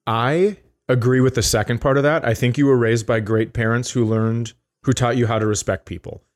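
Man, medium pitch, podcast co-host, casual comedic banter, teasing, digressive, profane. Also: steady tone, brisk.

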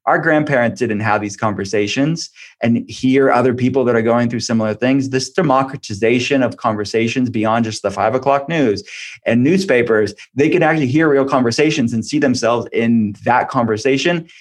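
Male podcast guest, medium pitch, informal expert explainer in relaxed conversational phrasing. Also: moderate pace. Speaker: functional medicine doctor, podcast guest.

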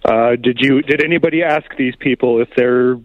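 A man's voice: medium pitch, normal speed, dry recording. A man calling a radio show by telephone, arguing insistently and pushing back as he talks over the host. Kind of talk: argumentative phone-in debate. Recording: thin, band-limited phone line.

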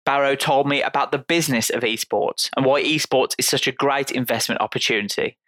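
A man's voice: mid-range voice, bright, forceful tone, no echo. A man presenting and interviewing as a podcast host. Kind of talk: scripted introduction and conversational interviewing.

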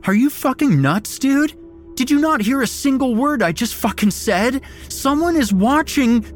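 A man voicing a terrified young man who stammers and shouts in panic. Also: medium pitch, measured pace, soft background music, pitched above the performer's own voice, natural voice. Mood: frantic.

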